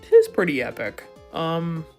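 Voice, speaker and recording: A young woman with a scratchy, hoarse voice rambling casually as she draws, swearing and muttering to herself. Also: low voice, wildly swooping pitch, a little slow, a little mellow, soft background music. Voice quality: scratchy, vocal fry. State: voice giving out, hoarse. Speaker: art vlogger. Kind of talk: rambling casual commentary while drawing.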